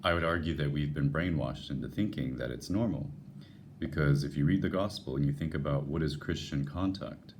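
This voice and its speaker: A man with an unusually deep, radio-like voice, talking earnestly and musing to his viewers about faith, with frequent restarts. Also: a little fast, darkish tone, slight background noise. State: voice lowered by a passing illness. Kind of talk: unscripted devotional talk to viewers.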